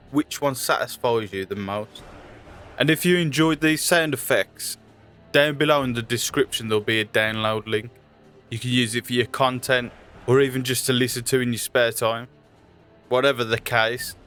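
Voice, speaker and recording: A man's voice: medium pitch, fairly bright tone. A man hosting and narrating a scripted video. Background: music.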